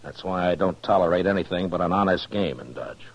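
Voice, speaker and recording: Casting: unflappable western lawman, low gravel-edged husky voice, dry authority, 1950s radio drama. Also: fairly quick. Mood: stern. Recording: muffled old 1950s radio recording.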